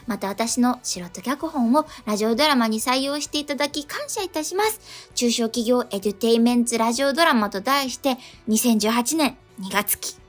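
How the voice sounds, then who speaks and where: Polite radio lady reading out listener mail, highish voice, music playing behind her. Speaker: radio show co-host. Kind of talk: reading listener letters aloud, chatting. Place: radio studio.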